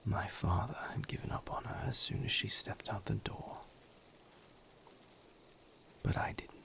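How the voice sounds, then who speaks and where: A low, gravelly vampire lover, acted by a man speaks in an intimate roleplay monologue. He is brooding and somber, confiding a grim past slowly and haltingly in a breathy murmur. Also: fairly bright tone, heavily acted. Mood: somber, bitter. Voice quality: breathy, gravelly near-whisper. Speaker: audio roleplay performer.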